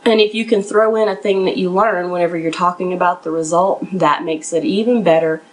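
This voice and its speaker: A woman's voice: lowish voice, steady tone, fairly quick, low-quality recording. A woman giving conversational, instructional advice as an interview coach, speaking plainly and directly to listeners in an encouraging, slightly nervous way. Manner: somewhat nervous. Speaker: professional resume writer and interview coach hosting a podcast.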